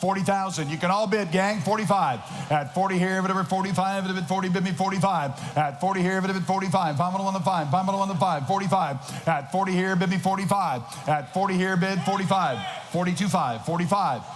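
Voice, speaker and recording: A man working as an auctioneer, calling bids in a rapid rhythmic chant and shouting the numbers. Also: high voice. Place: large auction hall. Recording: noisy reverberant hall.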